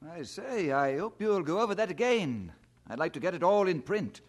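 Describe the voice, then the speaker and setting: A man's voice: high-pitched, sing-song, moderate pace. A man acting in an audio drama as an inquisitive, self-assured colonial newspaperman with a wry, genial, probing manner. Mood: keen.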